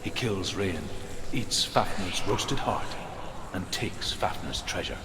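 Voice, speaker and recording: A man playing a solemn storyteller of Norse legends in a game, with a grave, measured, scripted delivery. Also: low-pitched, lively intonation. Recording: echoey long reverb, noisy ambience.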